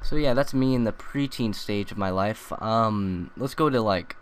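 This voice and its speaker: Young male tutorial maker, low-ish voice, rambling with fillers, faint hiss. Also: normal speed. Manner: rambling, many ums and uhs. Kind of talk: casual screen-recorded tutorial.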